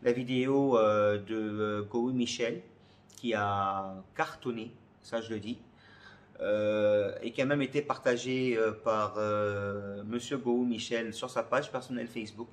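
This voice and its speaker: A man's voice: on the low side, fairly even intonation, measured pace. A man from Côte d'Ivoire answers questions in a casual vlog, often searching for words and repeating phrases. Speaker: comedy video creator.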